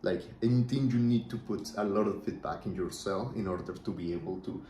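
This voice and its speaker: A man's voice: lowish voice, brisk, warm tone, a little hiss or hum. A man from Colombia, a native Spanish speaker with a Colombian accent, talking through his business plans in a rambling, casual monologue and often checking in with "right?". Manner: rambling, keeps asking "right?". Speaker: small business owner vlogger. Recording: roomy echo.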